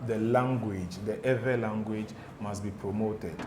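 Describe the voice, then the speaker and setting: A man's voice: fairly low pitch, a little slow, noisy background. A Ghanaian man chatting as an interview guest, telling stories and checking in often with "okay?" and "do you understand?". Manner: animated storyteller, repeats "okay?" and "do you understand?". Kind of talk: casual studio interview. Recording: echoey room with music behind.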